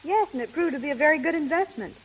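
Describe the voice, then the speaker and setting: Spirited, animated 1930s leading lady. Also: high voice, fast. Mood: teasing. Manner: crisp, self-assured. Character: poised, spirited young woman, teasing and assertive. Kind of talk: 1930s radio acting. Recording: hissy, crackling 1930s radio recording.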